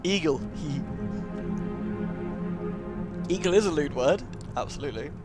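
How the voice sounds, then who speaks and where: A man's voice: on the high side, animated, slow, music in the background. A man livestreaming a game, chatting casually with viewers and reading aloud. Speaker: game streamer.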